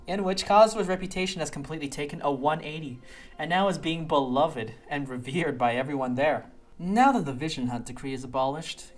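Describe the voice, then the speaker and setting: A casual, conversational, opinionated video game reviewer giving improvised commentary on a quest. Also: medium pitch. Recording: voice over background music. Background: music.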